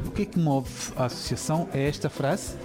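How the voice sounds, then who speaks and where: An interviewer and reporter asks short questions and reads scripted narration. The pitch is medium, and music plays clearly behind the voice. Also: a little fast.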